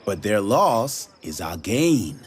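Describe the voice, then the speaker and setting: An actor playing a cocky, folksy racing mentor in a video game, with a swaggering, teasing, informal way of talking. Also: male voice, lowish voice, very animated, takes it slowly, slightly rough, heavily acted. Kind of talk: video game cutscene acting.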